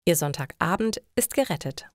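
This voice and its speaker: A woman's voice: lowish voice, animated, moderate pace, no echo, studio quality. A woman narrating scripted short stories for language learners.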